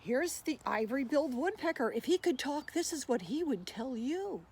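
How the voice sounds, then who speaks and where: A woman presenting a children's picture book in an expressive read-aloud style, speaking directly to young listeners. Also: sits high, normal speed. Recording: clear background noise, animal sounds.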